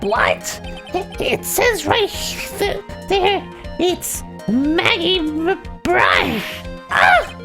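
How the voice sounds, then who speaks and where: Shrill, stammering prosecutor. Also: male voice, very animated, extremely slow, bright, forceful tone, heavily acted. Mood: panicked. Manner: stammering, spluttering. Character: flustered, whiny, shrill male courtroom prosecutor. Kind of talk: hammy video game dub read. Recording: game music behind the voice.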